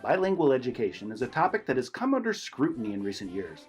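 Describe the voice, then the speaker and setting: A man's voice: mid-range voice, wildly swooping pitch, fast, a little mellow. A man reading a scripted introduction to a class presentation in an announcer-like way. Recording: voice over background music.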